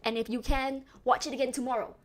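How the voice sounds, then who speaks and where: A young Singaporean woman talks loosely to her stream chat, her accent toned down but still there. Her voice sits a little high, and she rambles through fillers and quick laughs. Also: little pitch movement, a bit bright. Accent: Singaporean, softened toward Western English. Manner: chatty, rambling, laughs often, many fillers. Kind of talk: casual chat with live chat.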